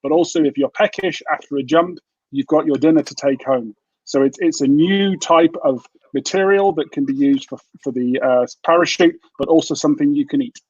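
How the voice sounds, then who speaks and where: A British man from England improvising a mock startup pitch on a video call, hesitant and full of fillers. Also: brisk, a little mellow, breathy edge, no echo. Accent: British English. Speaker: party game participant. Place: home room, video call. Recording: dull dry video call audio.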